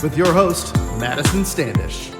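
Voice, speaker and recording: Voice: mid-range voice, lively intonation, unhurried. An announcer reading a scripted show introduction. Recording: echoey long reverb under loud music.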